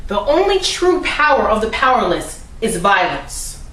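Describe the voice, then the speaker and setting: A woman performing a dramatic monologue as a defiant, oppressed defendant, speaking deliberately and solemnly with weighted pauses. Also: fairly high pitch, sing-song, fairly bright tone. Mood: solemn defiance. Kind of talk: dramatic courtroom monologue reading. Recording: roomy, distant mic with faint noise.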